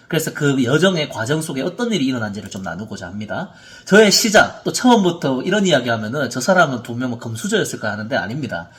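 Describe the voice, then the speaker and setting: A man in his thirties speaks as a motivational presenter at a seminar. His voice sits on the high side and moves in very lively swings of pitch. He tells his story earnestly, leaning hard on key words. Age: 30s. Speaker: motivational speaker, author and coach.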